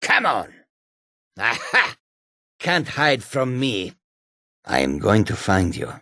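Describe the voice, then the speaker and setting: An actor playing a sly, menacing, proud humanoid cat warrior in a fantasy video game, with a husky, raspy voice and deliberate delivery. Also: male voice, lowish voice, wildly swooping pitch, pushed and bright, dry recording, studio quality, heavily acted. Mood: aggressive. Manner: slow, deliberate, often speaks of himself in third person.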